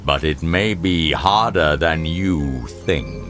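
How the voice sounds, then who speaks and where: A man playing a great lion king in an audio drama, grave and kindly, with a slow, stately, gravelly delivery. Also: animated, exaggerated voice. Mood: grave, regal. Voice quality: very deep, gravelly. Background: music.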